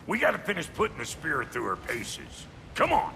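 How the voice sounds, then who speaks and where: An actor playing a gruff, foul-mouthed dwarf blacksmith in a video game, blunt and folksy, with a gravelly voice. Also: male voice, on the high side, huge pitch swings, moderate pace, pushed and bright, slight background noise, full character voice. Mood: barking, urgent. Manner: folksy, blunt, colorful cussing. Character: gruff, foul-mouthed, cantankerous little dwarf blacksmith, middle-aged man. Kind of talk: video game character acting.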